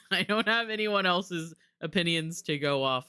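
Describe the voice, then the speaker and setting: A man's voice: sits high, animated, unhurried, bright, forceful tone, clean tone, no echo. A man giving casual live commentary as a game streamer, talking into a close mic.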